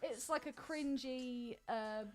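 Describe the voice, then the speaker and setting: A woman's voice: high voice, takes it slowly, crowd noise. A woman co-hosting a podcast, chatting casually about films in a giggly, playful way. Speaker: podcast co-host. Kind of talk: casual film chat with banter.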